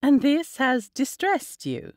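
Woman doing a spirited young bride, animated pitch, slow, playful, dry sound. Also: no echo, heavily acted. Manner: lively, playful, indignant at times.

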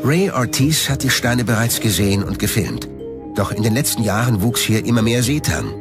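A male documentary narrator speaks in a fairly low voice with very varied intonation. He reads a prepared script, and music plays behind him. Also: expressive, normal speed.

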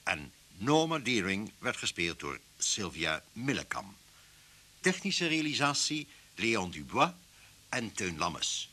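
A male radio announcer reads scripted credits in a formal, measured way. His voice is fairly low and husky, with a forceful edge. He takes his time. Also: big pitch swings.

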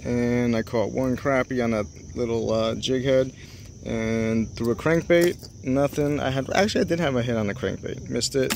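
A man narrating his fishing trip from a small boat on a lake, chatty and excited, talking straight to the camera. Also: medium pitch. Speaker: fishing vlogger. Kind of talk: casual narration while fishing. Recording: faint outdoor noise.

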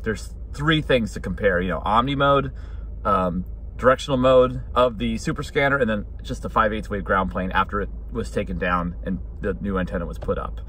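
A man's voice: on the low side, a bit bright. A man, chatting bluntly and casually in an opinionated vlog. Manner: blunt, informal. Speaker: hobbyist radio vlogger. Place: inside a car. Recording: clear vehicle noise behind voice.

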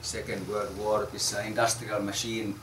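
A man in his forties giving an informal talk to a small group, halting with many fillers and repeated words. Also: on the low side, level tone. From Finland. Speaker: architect presenting a research project. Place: small crowded room, indoors. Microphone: far camera. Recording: roomy, distant, noisy room.

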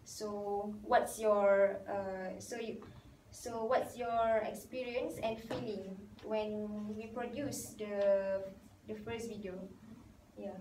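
A young woman leading a student group discussion in a meeting room, halting with many fillers. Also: medium pitch, fairly even intonation, darkish tone. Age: young adult. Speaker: discussion moderator. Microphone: far camera. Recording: roomy, distant, noisy.

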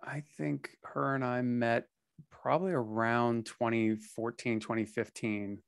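Man, American, 40s, podcast host, rambling, lots of fillers, mid pitch, dry close headset sound. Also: fairly even intonation, a little slow, vocal fry, clean tone, no echo. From United States. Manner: rambling, hesitant, many fillers. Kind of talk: casual interview conversation. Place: small home studio room. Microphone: headset with a close mic.

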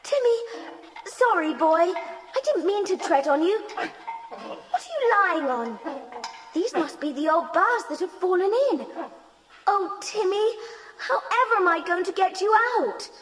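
Plucky English tomboy girl, very high, lively, unhurried; children's audio drama. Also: soft background music. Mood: worried, tender. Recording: hissy 1990s cassette tape.

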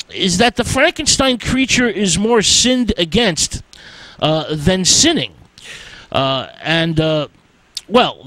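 A man hosting a radio show, giving an informal history lecture in a halting, drawn-out way with emphatic stresses. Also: fairly high pitch, fairly bright tone, no echo, rough recording. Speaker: radio host.

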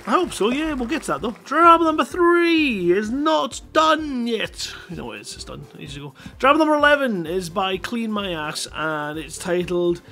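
A man's voice: sits high, big pitch swings, normal speed, hardly any pauses, bright, forceful tone, some chatter behind. A playful man hosting a live stream, reading short stories aloud and bantering casually. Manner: playful, jokey. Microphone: headset microphone.

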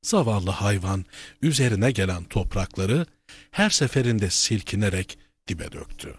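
A man voicing a kindly old grandfather in a children's cartoon, telling tales in a husky, folksy, theatrical way. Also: lowish voice, no room sound, full character voice.

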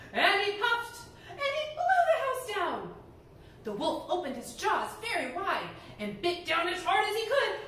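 A young woman reading a story aloud to children in a theatrical, expressive, emphatic way. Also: very high voice. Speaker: library storyteller, reader. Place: indoor room before folding screen. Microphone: camera microphone a few metres away. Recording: echoey room, distant mic, background noise.

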